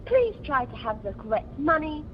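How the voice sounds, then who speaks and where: A woman acts out a polite air stewardess in an amateur radio comedy. Her high, animated announcing voice rings with long reverb on a muffled conference line. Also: normal speed, slight background noise. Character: polite female flight attendant making cabin announcement.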